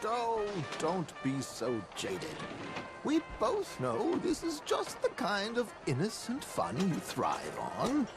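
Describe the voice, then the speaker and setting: A man playing a flamboyant, cultured, scheming gentleman con man in a video game cutscene, speaking with theatrical, florid charm and breezy confidence. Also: high voice, wildly swooping pitch, takes it slowly, music in the background, full character voice. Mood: breezy, teasing.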